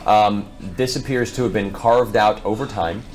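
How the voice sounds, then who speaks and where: A man narrating a tabletop game as game master, describing scenes in improvised, halting phrases. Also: lowish voice, steady tone.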